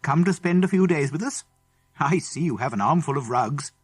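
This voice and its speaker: Man acting a jovial, lively British schoolmaster. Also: on the high side, normal speed, breathy edge, no echo, faint music behind, voice pushed up. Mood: genial, amused. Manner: jovial, polite. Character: genial adult schoolmaster, kindly and amused.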